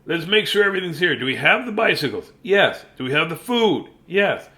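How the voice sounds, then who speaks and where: A man voicing a brisk, exasperated father from a picture book, speaking emphatically. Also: on the high side, big pitch swings, bright, forceful tone, pitched above the performer's own voice.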